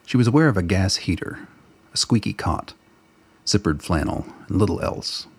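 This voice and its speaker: A man narrating a radio drama in a steady, deliberate storytelling manner. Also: sits low, slightly rough. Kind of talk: scripted narration.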